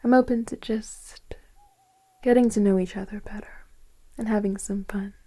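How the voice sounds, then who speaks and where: Breathy, whispering mature woman, gently flirtatious, acted by a woman. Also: mid-range voice, steady tone, lightly acted. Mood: flirtatious, hesitant. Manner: soft, tender, hesitant, unhurried flirting. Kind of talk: intimate ASMR romance roleplay.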